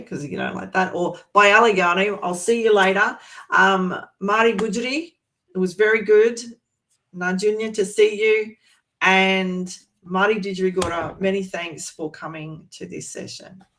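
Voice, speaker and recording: A teacher from Australia's east coast, presenting a teaching resource in a relaxed, chatty way with many pauses and restarts. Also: mid-range voice. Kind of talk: informal presentation to educators.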